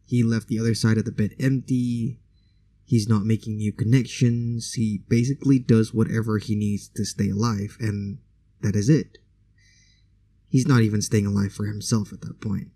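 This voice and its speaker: Gravelly low-voiced podcast host. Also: male voice, steady tone, normal speed, dark tone, dry recording. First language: Indonesian. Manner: conversational, opinionated, casual asides. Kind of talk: solo podcast monologue.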